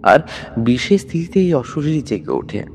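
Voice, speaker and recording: Casting: male reader as kindly old village widow, sorrowful and grave, lively intonation, ghost-story setting, long reverb with background noise. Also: mid-range voice, normal speed, natural voice. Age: older.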